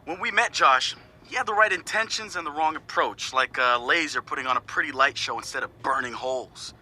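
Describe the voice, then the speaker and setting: An actor playing a confident young hacker activist, recording a casual, slangy, wry audio log for a video game. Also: male voice, a little high, brisk, sharp tone, low-quality recording. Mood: determined. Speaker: hacker group leader narrating audio logs.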